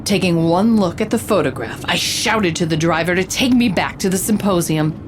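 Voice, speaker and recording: A woman's voice: fairly low pitch, lively intonation, normal speed, background noise, natural voice. A woman playing a bold, wry investigative reporter who narrates her case in a dramatic, noir-style audio drama. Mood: dramatic narration.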